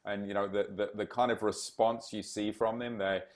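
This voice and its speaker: Enthusiastic male podcast host, lowish voice. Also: level tone, normal speed. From Zimbabwe. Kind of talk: casual interview chat.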